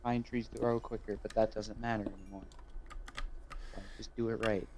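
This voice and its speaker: Chill young dude talking while gaming, offhand, faint keyboard clicks. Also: male voice, on the low side, flat delivery, moderate pace, background noise. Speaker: friend. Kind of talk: casual chat while gaming.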